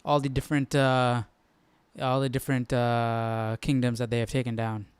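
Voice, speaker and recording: A young man hosting a casual live study stream, musing aloud in an informal, halting way. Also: mid-range voice, level tone, smooth, no room sound. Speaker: host.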